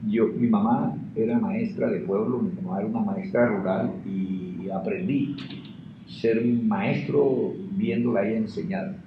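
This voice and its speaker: A man's voice: lowish voice, unhurried. A man in his sixties with a Colombian Caribbean accent, answering interview questions in an anecdotal, conversational way. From Caribbean river region of Colombia. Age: 60s. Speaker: interviewee, sociologist and teacher. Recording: muffled, echoey, noisy room recording.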